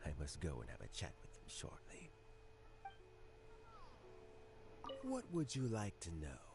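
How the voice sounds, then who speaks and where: An actor playing a wise elderly official in a video game, a calm, fatherly mentor who gives formal, measured counsel. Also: sits low, creaky, music in the background, heavily acted. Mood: calm, warm. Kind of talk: video game dialogue acting.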